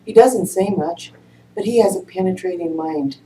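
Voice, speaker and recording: A woman's voice: on the low side, normal speed, mellow. A woman introducing and reading a poem aloud at a church service. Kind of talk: poetry reading. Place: church room, recorded from a distance. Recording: roomy, distant mic, faint noise.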